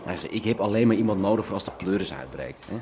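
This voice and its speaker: An actor playing a streetwise underworld operator in a radio drama, colloquial and coaxing. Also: male voice, low voice, animated, quick pace, a little mellow, a little hiss or hum. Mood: cajoling. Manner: colloquial, cajoling. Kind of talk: naturalistic radio drama acting.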